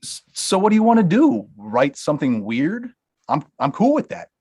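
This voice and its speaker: A man's voice: high voice, big pitch swings, moderate pace, a little mellow, slightly rough, full character voice. A man reading the part of a blunt, money-minded talent manager in a comedy table read. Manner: brusque, dismissive, laughs through lines.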